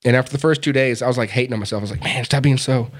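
A man's voice: mid-range voice, steady tone, racing. Crude, laughing young man telling a story. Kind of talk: casual storytelling chat.

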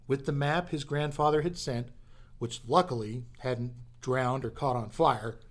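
A man delivers dramatic scripted narration for an adventure radio play. He has a medium-pitched voice and a theatrical storytelling manner. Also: a little slow.

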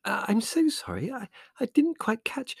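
A man's voice: very high-pitched, huge pitch swings, normal speed, vocal fry, breathy edge, no echo, studio quality, pitched above the performer's own voice, full character voice. A man voicing a flustered, hesitant middle-aged mother who twitters nervously.

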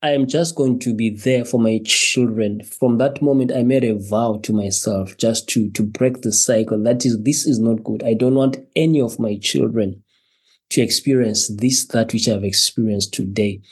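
A man from Zimbabwe, a podcast guest telling his personal story in a halting way, repeating words and laughing between phrases. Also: medium pitch, fairly even intonation, darkish tone, slightly rough.

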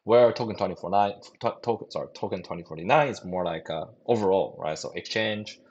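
Male founder guest, very low pitch, hesitant with lots of fillers and false starts. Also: a little fast, a little mellow, breathy edge, highs cut off. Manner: hesitant, many fillers and restarts, non-native phrasing. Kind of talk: casual interview answers.

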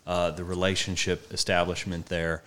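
Deep-voiced man recounting his service. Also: level tone, fairly bright tone. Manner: halting, with many fillers and restarts. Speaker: interview guest, horse trainer and veteran. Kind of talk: casual conversational storytelling.

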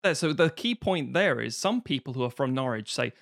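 A man's voice: medium pitch, fairly quick, gravelly. A man co-hosting a podcast, ranting in casual chat and swearing freely. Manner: swears freely, animated rants. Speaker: podcast co-host.

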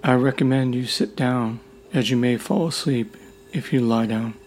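A man leading a guided meditation in a soothing, deliberate way, leaving long pauses between short phrases. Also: medium pitch, slight background noise. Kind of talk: guided meditation, gentle instruction. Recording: loud humming meditation tones throughout. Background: gentle music with sine tone and singing bowls.

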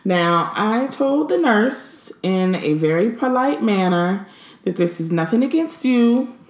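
Thirties woman, frank indignant vlog monologue, slightly low voice, roomy echo. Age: 30s. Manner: emphatic, indignant, stresses words slowly. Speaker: pregnant vlogger. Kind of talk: casual venting monologue.

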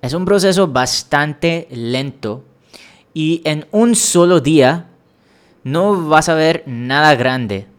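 Guy from india doing a solo learning podcast, talks real slow, smooth clear voice, encouraging. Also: on the high side. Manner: slow, measured, encouraging explanations with small restarts. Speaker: language-learning podcast host. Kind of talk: solo podcast monologue giving advice.

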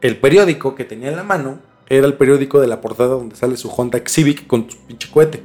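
A man's voice: mid-range voice, quick pace, a little mellow. A man with a Mexican accent hosting a podcast, mixing narrated storytelling with slangy, colloquial chat. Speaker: podcast host. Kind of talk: conversational storytelling mixed with scripted narration. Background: music.

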